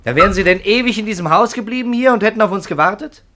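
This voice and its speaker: A man's voice: sits high, quick pace, sharp tone, a little hiss or hum. An actor playing a sarcastic, probing police detective who presses his questions in a 1950s German radio drama. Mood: sardonic, impatient. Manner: pressing, sardonic, rhetorical questioning.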